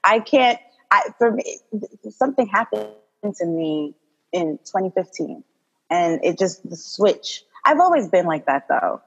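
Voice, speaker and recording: A woman from Congo, a doula and podcast guest, talking in a calm, direct way and laughing often. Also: medium pitch, unhurried, breathy edge, muffled recording, faint music behind. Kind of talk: casual podcast interview.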